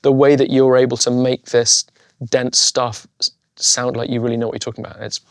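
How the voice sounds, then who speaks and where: A man's voice: mid-range voice, level tone, a little fast, dry recording, studio quality. A man who is a guest actor on a podcast, answering and asking questions in an earnest, curious way. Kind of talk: interview chat.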